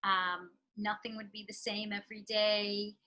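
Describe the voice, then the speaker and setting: A woman with a New York accent answers interview questions in a bright, forceful voice. She talks in an earnest, reflective way, pausing with fillers as she thinks. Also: medium pitch, level tone. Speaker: real estate professional.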